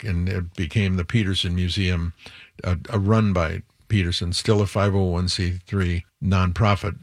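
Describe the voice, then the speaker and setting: A man's voice: low-pitched, no echo, studio quality. An older man speaking as an interview guest, rambling a little and correcting himself as he lists things. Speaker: museum executive guest. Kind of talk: interview conversation.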